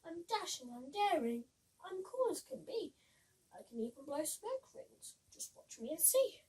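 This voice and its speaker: A woman's voice: very high-pitched, very animated, measured pace, a little mellow, recorded from a distance, heavily acted, low-quality recording. A woman voicing a boastful, dashing male scarecrow with a pompous, emphatic manner for a storybook. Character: boastful, dashing male scarecrow, smooth-talking rival suitor.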